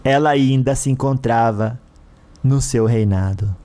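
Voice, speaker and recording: A man's voice: mid-range voice, a little slow, warm tone. A man with a Brazilian accent reading a scripted dramatic story as its narrator.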